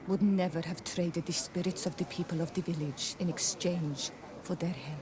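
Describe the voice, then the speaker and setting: A woman acting a grave, low-voiced tribeswoman. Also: noisy background, natural voice. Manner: grave, deliberate, formal. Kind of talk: fantasy video game cutscene acting.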